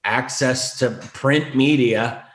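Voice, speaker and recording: A middle-aged man talks with quick, animated asides in an ordinary mid-pitched voice. His voice bounces around a roomy, echoey space. He sounds like he is hosting a casual chat. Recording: roomy echo.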